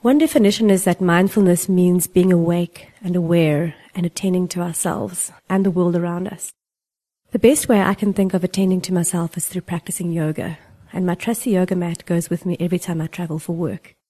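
Female interviewer, mellow tone, relaxed and friendly. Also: on the low side, brisk. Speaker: podcast host. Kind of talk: interview hosting.

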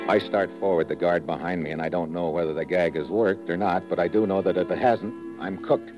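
An actor playing a tough, wry American secret agent who tells his story in terse, deadpan 1950s radio drama style. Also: sits low, brisk, natural voice. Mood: suspenseful narrating. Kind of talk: 1950s radio drama acting, first-person hard-boiled narration. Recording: muffled vintage radio recording with music.

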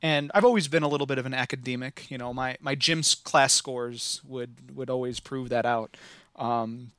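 A man's voice: mid-range voice, moderate pace, a bit bright, clear, resonant tone, dry recording, clean studio sound. A man in his thirties with a Midwestern American accent, talking enthusiastically as a podcast guest, rambling with many restarts. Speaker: podcast guest, living history reenactor. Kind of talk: casual podcast interview.

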